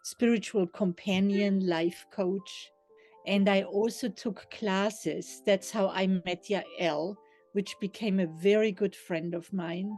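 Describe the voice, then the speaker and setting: Slow, mellow woman. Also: mid-range voice, steady tone, soft background music. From Austria. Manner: slow, thoughtful and earnest. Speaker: interviewee, life coach. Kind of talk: reflective testimony.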